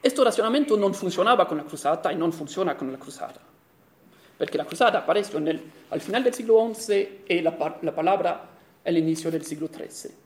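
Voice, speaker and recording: A man's voice: sits high, expressive. A man with an Italian accent giving an academic conference lecture as a historian, speaking carefully and correcting himself often. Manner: careful, halting delivery with self-corrections and fillers.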